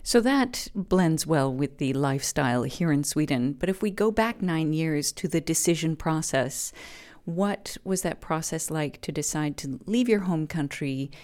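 A woman's voice: low-pitched, lively intonation, measured pace, few pauses, a little mellow. A woman hosting an interview show, asking curious, upbeat questions. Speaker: podcast host.

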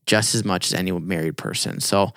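A man's voice: low-pitched, steady tone, a little fast, fairly bright tone, dry recording, clean studio sound. A man in his late twenties co-hosting a youth ministry podcast, talking earnestly and emphatically. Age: 20s. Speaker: youth pastor podcast co-host. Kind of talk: casual podcast chat.

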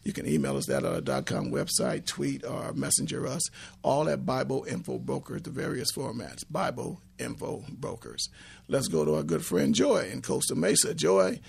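A man with a raspy voice hosting a call-in radio show, playfully teasing a caller. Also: mid-range voice, sing-song, normal speed.

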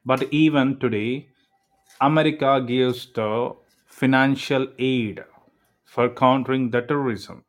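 A man speaks in a mid-range voice. He lays out his views with formal phrasing and deliberate emphasis, as a panelist in a discussion. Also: mid-range voice, steady tone. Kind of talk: opinionated discussion.

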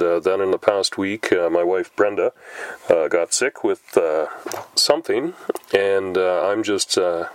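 A middle-aged man with a lowish voice chats on his podcast, slightly stuffed up from a cold. He talks at an easy pace, pausing often on "uh". The sound is dry and close. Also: dry recording. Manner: unhurried, many "uh" pauses. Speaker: podcast host. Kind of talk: casual solo podcast talk.

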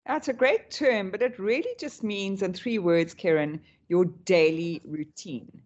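A woman's voice: mid-range voice, unhurried, a little mellow, muffled recording. A woman coach, a guest on a podcast, explaining her subject in an enthusiastic, rambling way. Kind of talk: podcast interview.

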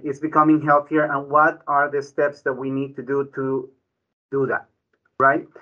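Male doctor, forceful slightly high voice, muffled lo-fi sound, keeps adding "right?". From Ecuador. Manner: frequent "right?" tag questions, restarts. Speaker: physician guest. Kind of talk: conversational explanation. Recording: dull lo-fi audio.

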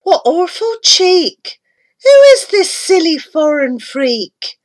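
A woman's voice: very high-pitched, sing-song, slow, pitched above the performer's own voice, exaggerated voice. A woman voicing an indignant female flying cow in a storybook poem, crying out in outrage. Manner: indignant outbursts.

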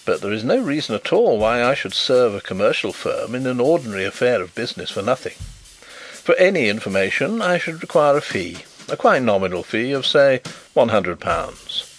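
A man voicing a good-humoured, self-assured gentleman detective who speaks in measured, courteous terms. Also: mid-range voice, sing-song, soft background music, poor audio.

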